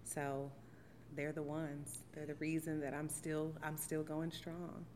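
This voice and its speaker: A woman in her forties with a notably low voice speaks in a steady, reflective way, often pausing on an um. She is from St. Louis and is telling her life story in an oral history interview. Her voice carries a long reverb from a theater hall, with faint noise underneath. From St. Louis, Missouri, USA. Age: 40s. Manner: reflective, steady, with frequent ums. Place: theater venue.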